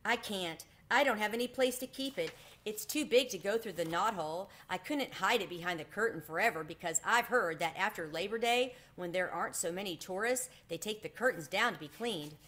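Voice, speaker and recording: A woman's voice: medium pitch, brisk, few pauses, close to an everyday voice. A woman voicing an eager, proud young male mouse with an emphatic delivery in a storybook. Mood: dejected. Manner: emphatic, excitable.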